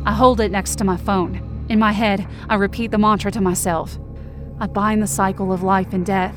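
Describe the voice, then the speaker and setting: A woman's voice: medium pitch, level tone, normal speed, music in the background, natural voice. A woman playing a wounded, angry, defiant young woman, narrating in the first person with intense feeling in an audio drama. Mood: urgent, anxious. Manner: intense, emotive.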